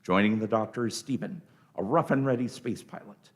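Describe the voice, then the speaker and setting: A man narrating a scripted radio play in a theatrical, deliberate way. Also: fairly low pitch.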